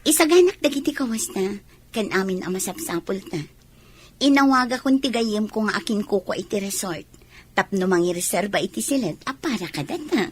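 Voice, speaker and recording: A woman's voice: mid-range voice, animated, brisk, slight background noise, lightly acted. A woman playing a bossy, coaxing mistress of a married man in an Ilocano audio drama, with an Ilocano Filipino accent. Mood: matter-of-fact.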